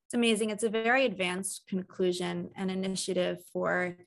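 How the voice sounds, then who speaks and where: A woman hosting a conversational interview in an encouraging, measured way. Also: medium pitch, little pitch movement, creaky. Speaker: interviewer, mental health trainer.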